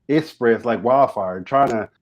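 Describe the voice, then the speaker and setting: Male co-host, mid pitch, relaxed conversational questioning, eager acknowledgements. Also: moderate pace, muffled recording. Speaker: podcast co-host. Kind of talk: casual interview questions.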